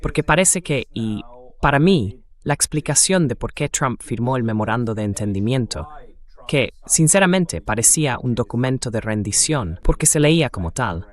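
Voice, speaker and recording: A man's voice: mid-range voice, lively intonation, moderate pace. A synthetic male voice-over reading a translated conversation about war and politics in an interview style.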